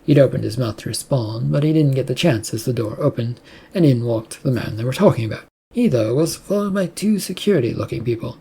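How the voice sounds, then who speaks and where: Mellow, husky story narrator. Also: medium pitch, expressive, brisk. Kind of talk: scripted audiobook narration of prose fiction.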